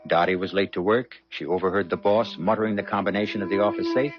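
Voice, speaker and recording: An actor as deep, dramatic radio mystery host. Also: male voice, steady tone, close to an everyday voice. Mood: solemn, knowing. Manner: theatrical, deliberate, dramatic emphasis. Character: solemn, knowing male storyteller of eerie tales. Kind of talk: 1970s radio drama narration. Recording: muffled old radio broadcast with music.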